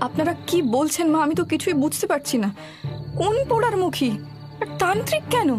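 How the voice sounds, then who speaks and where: A woman's voice: very high-pitched, expressive, fairly quick, over music. A woman playing an anxious, indignant young daughter-in-law in a cartoon horror story. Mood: questioning, indignant.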